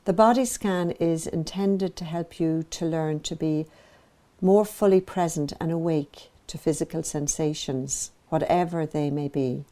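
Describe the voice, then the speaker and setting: A woman meditation teacher narrating a guided practice in a gentle, measured, soothing manner, pausing between phrases. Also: low voice, steady tone.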